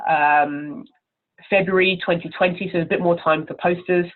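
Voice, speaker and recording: A woman's voice: on the low side, fairly even intonation, moderate pace. A woman giving informal, encouraging interview answers as a conference chair over the telephone. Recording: narrow, tinny telephone line.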